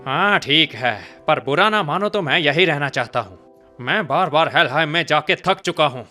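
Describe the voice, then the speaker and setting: A sarcastic grumbling dwarf. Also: male voice, on the high side, lively intonation, moderate pace, sharp tone, faint music behind. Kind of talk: Hindi game dub acting.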